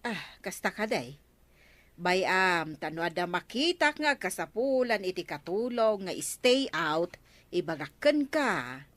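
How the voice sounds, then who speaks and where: A woman's voice: lowish voice, big pitch swings, slow, fairly bright tone, no room sound, heavily acted. A woman playing a sympathetic, practical village friend in an Ilocano radio comedy drama, conversational and inquisitive, with an Ilocano accent. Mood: helpful.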